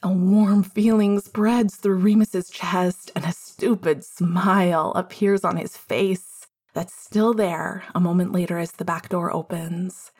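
A woman reading a story aloud as its narrator, in a measured storytelling manner. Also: lowish voice, steady tone, a little mellow, husky. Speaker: fiction narrator.

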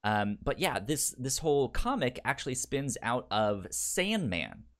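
A man co-hosting a podcast, talking casually and haltingly as he discusses a TV show. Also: medium pitch, sing-song. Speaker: podcast co-host. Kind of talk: casual conversational TV review.

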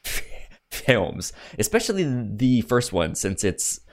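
Slow-paced man with widely swinging pitch. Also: very animated. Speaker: podcast co-host. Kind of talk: casual film discussion.

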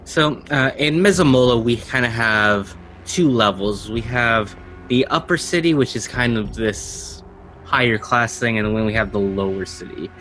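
A man's voice: mid-range voice, normal speed, sharp tone, slight background noise, rough recording. A man hosting and narrating a tabletop role-playing game as game master. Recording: music under the voice. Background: music.

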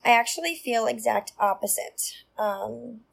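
Chatty woman, gravelly edge. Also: on the high side, animated, a little slow, a bit bright. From Long Island, New York. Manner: chatty, many ums and likes. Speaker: teacher. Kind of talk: casual discussion.

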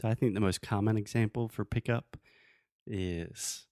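A man co-hosting a podcast, teaching English phrases in a relaxed, teasing way, with patient examples. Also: fairly low pitch, normal speed, dry recording, clean studio sound. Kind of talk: casual teaching chat.

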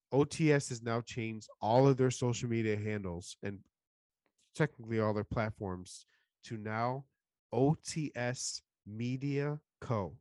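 A man's voice: fairly low pitch, a little mellow, no echo, studio quality. A man hosting a casual podcast, upbeat and promotional, losing his voice after being sick. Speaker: podcast host.

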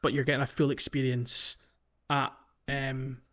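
A man's voice: mid-range voice, flat delivery, unhurried, a bit bright, dull audio. A man co-hosting a casual gaming podcast, talking in an informal, loosely hesitant way with British slang. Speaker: podcast co-host.

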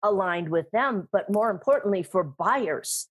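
A middle-aged woman talking about sales and speaking in an interview, animated and emphatic, laughing often. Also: mid-range voice, a little slow, husky. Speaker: guest, sales trainer and speaker. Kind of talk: conversational interview.

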